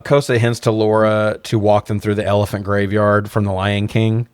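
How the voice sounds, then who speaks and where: Low-voiced, sardonic man recapping a film. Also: flat delivery, moderate pace, smooth, no room sound. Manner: sardonic, profane. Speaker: podcast co-host. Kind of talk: casual film recap banter.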